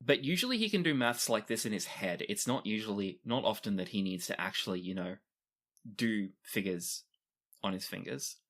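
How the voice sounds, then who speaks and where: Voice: sits low, animated, normal speed, a bit bright. A game master narrating scenes in a tabletop roleplaying game and speaking for its world.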